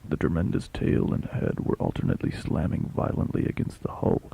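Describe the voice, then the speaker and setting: A man narrating a dramatic first-person sea story as a shipwrecked fishing captain, intense, theatrical and deliberate, with a gravelly, husky voice. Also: mellow, a little hiss or hum, poor audio. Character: shipwrecked fishing captain telling his tale.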